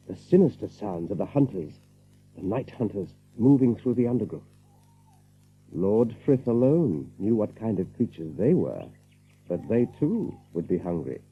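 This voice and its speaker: Man, dramatic storyteller, lively varied pitch, deliberate phrasing, thin narrow-band sound with hum. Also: husky. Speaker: narrator.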